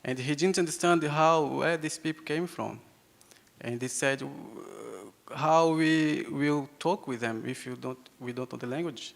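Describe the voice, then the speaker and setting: A young man from Brazil with a Brazilian Portuguese accent, a Yawanawa speaker, giving a halting talk to an audience, repeating words and laughing often. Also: mid-range voice, gravelly. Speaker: invited speaker. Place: hall with an audience. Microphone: handheld microphone. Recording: hall reverb.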